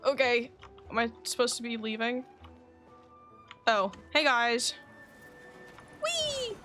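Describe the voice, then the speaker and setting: A woman's voice: fairly high pitch, huge pitch swings, slow pace, bright, forceful tone. A woman giving casual live commentary as she streams a game. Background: game music.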